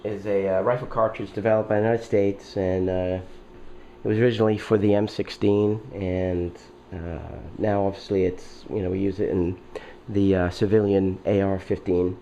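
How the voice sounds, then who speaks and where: A man's voice: low-pitched, flat delivery, dark tone, dull audio, slight background noise. Nasal man rambling with a head cold. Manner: rambling, halting, many fillers and throat clearing. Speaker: video host. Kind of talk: casual unboxing talk.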